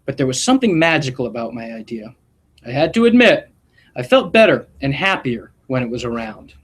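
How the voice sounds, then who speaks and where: A man reading a picture book aloud like a principal to schoolchildren, with expressive, deliberate storytelling delivery and short asides. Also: mid-range voice.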